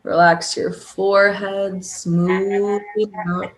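Slow-paced woman guiding sleep hypnosis. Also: medium pitch, poor audio. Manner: calm, drawn-out pauses, audible breaths and hums. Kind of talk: guided sleep hypnosis, soothing relaxation script.

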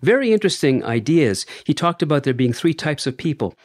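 A man's voice: on the high side, big pitch swings, fairly quick, a little mellow, no room sound, clean studio sound. A man co-hosting a podcast, talking through ideas in a reflective, conversational way and asking his co-host questions. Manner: thoughtful, explains ideas at length, asks questions.

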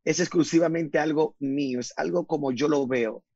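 Male radio guest, husky slightly high voice, dry muffled sound, earnest and emphatic. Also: moderate pace, warm tone, dry recording. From Dominican Republic. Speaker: guest speaker. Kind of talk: radio talk interview.

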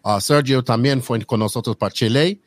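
A man whose first language is English, hosting a casual podcast in halting Spanish and slipping into English now and then. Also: mid-range voice, dry recording. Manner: halting non-native Spanish with slips into English. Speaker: podcast host.

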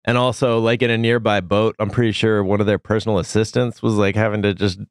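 Sick male podcast host, lowish smooth voice, dry close mic, jokey. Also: flat delivery, fast talker, no echo. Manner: loose, jokey, swooping emphasis. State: sick, deep voice. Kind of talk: casual comedic chat.

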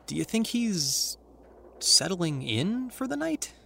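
Awkward teen boy, high for a male voice, anxious, wry, halting, naturalistic audio drama. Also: very animated, gravelly, bright, forceful tone, a little hiss or hum, exaggerated voice. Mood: uneasy. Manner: halting, dry, self-conscious.

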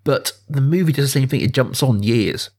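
A man hosting a casual film discussion, reflective and halting as he thinks through his opinions. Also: medium pitch, a little mellow. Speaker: podcast host.

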